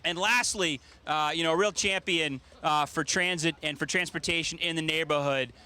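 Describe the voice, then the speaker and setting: A man's voice: fairly high pitch, moderate pace, bright, forceful tone, clean tone. A man speaking informally and off the cuff as an official host, introducing speakers with folksy joking at an outdoor event. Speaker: government official hosting a ceremony. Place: outdoor public event in rain.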